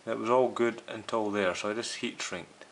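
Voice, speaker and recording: Male repair-video host, lowish voice, casual step-by-step explainer, faint noise and hiss behind him. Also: fairly even intonation, moderate pace. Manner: explanatory, addressing viewers directly. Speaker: host of a radio restoration series. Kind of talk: casual hands-on repair demonstration.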